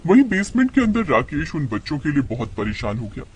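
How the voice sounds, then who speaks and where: A man's voice: on the high side, big pitch swings, brisk, slightly rough. A man narrating a scripted moral story as its storyteller.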